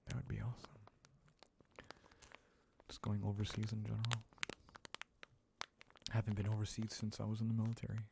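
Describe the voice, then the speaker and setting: An American man hosting a live ASMR question-and-answer stream, talking softly and slipping into whispers, with frequent chuckles. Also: on the low side, monotone, fairly quick, vocal fry, long pauses, noisy background. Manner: soft-spoken, drifting into whispers, chuckling often.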